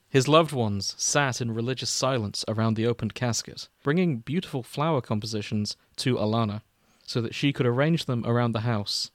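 A man's voice: mid-range voice, no room sound. Man reading aloud, dry close sound. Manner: breaks into chuckles while reading. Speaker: host and reader of a fan fiction podcast. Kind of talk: casual banter and comic read-aloud narration.

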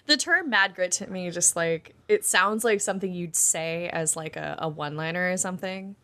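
A woman's voice: on the low side, normal speed, pushed and bright. A woman co-host chatting casually, jokey and musing, often trailing off as she thinks aloud. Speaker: co-host reacting and asking. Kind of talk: casual chat.

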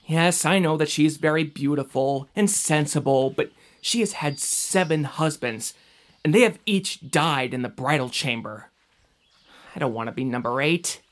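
An actor playing an earnest, excitable young male traveler in an audio drama, expressive and sometimes hesitant. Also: fairly high pitch, normal speed, lightly acted. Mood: alarmed, protesting. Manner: expressive, wide-eyed. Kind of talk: scripted audio drama acting.

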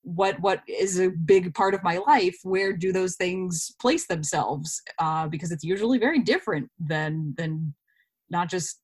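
A woman's voice: fairly low pitch, measured pace, slightly rough. A woman actor speaking as an interview guest, explaining her thoughts in a casual, reflective way.

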